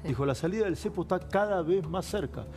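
A quick-speaking middle-aged Argentine man in a studio political discussion. His voice sits a little high, and he stresses phrases by repeating them. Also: animated, a little mellow. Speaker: political journalist on panel. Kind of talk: political panel chat. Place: TV news studio.

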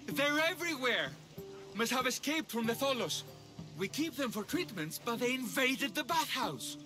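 A cheeky, theatrical priest of ancient Greece, with a high voice that leaps about. He is sarcastic and excitable, a video game character heard over music. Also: moderate pace. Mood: panicked. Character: cheeky, panicky male sanctuary bathhouse priest in ancient Greece.

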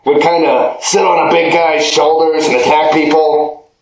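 A man's voice: on the high side, steady tone, normal speed, close to an everyday voice. A man as a teasing ice-powered fighter. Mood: amused. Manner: teasing, laughs at his own jokes. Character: mocking male ice-powered fighter, cocky and giggly. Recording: echoey room, faint music.

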